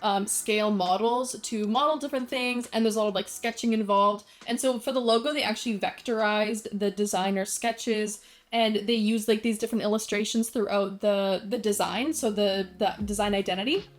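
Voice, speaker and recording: A woman's voice: mid-range voice, steady tone, moderate pace, soft background music. A young woman vlogging casually about brand design, chatty and full of fillers, with self-deprecating asides.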